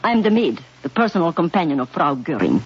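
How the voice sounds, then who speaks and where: A cool, composed woman spy with a Hungarian accent,, who turns urgent under pressure. Her voice rises and falls in a lively way, through a dull, hissy old radio broadcast. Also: low-pitched, fairly quick. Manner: poised, urgent. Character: poised female undercover agent posing as a maid. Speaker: lead actress and narrator. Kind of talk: 1950s radio drama acting.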